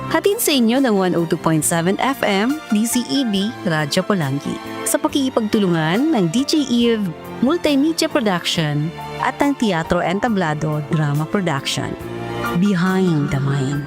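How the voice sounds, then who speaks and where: A woman's voice: medium pitch, expressive, normal speed. A woman with a Filipino accent narrating and hosting a radio drama in a scripted storytelling style. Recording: loud music under the voice. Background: dramatic program music.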